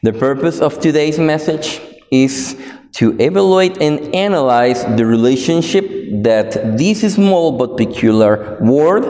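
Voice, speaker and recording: Slow Guatemalan-accented man preaching in a hall. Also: fairly high pitch, darkish tone. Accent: Guatemalan Spanish. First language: Spanish. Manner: halting, many fillers and restarts. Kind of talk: sermon. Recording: echoey hall with long reverb.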